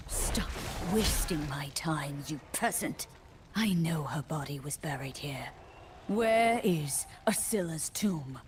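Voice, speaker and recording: A low-pitched, unhurried female necromancer speaks with icy disdain. She is haughty and hungry for power, issuing imperious threats in dramatic video game style, over clearly audible background noise. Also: lively intonation. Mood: cold menace.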